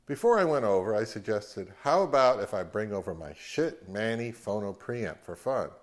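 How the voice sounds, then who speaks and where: A man's voice: lowish voice, animated, normal speed. A man narrating a product review as the host of his own audio channel.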